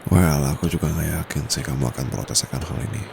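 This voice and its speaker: A man voicing a young private tutor whose timid front hides a dominant streak, speaking softly and slowly right up against the mic. His voice sits extremely low with a gravelly fry. A steady hiss of noise and passing traffic runs underneath. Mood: teasing. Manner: soft-spoken, teasing, slow and close. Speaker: voice actor. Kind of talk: ASMR roleplay. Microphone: close condenser mic.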